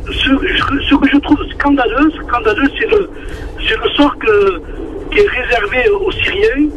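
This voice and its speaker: A man with a southern French accent calling into a radio show, speaking earnestly with many halting restarts over a muffled phone line. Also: very high voice, expressive, noisy background. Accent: southern French, Marseille-like. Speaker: caller. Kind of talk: phone-in opinion on air. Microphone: telephone.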